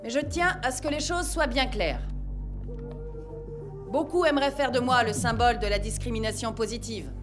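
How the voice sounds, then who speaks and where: A woman's voice: fairly high pitch, normal speed, natural voice. A woman playing a stern, proud police captain who speaks with formal, sardonic authority in an audio drama. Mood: firm, commanding. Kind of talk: dramatic audio series acting. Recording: music bed behind the voice.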